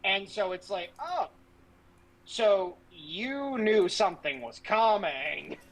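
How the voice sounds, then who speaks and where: A male podcast host with a high-pitched, bright and forceful voice. He talks casually about politics, blunt and brash, with plenty of swearing thrown in. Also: lively intonation, takes it slowly, low-quality recording.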